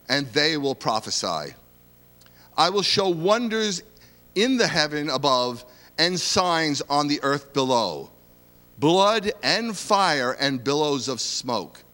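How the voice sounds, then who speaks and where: Male scripture reader, slightly high voice, unhurried, long reverb behind. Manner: measured, expressive reading. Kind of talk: Bible reading. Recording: reverberant hall.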